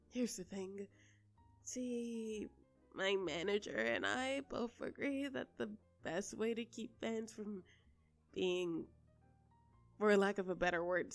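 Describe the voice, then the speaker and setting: A woman's voice: medium pitch, gravelly. Woman playing a flustered, polite female pop star, music behind. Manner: halting, conversational, apologetic. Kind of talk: intimate audio roleplay addressed to the listener.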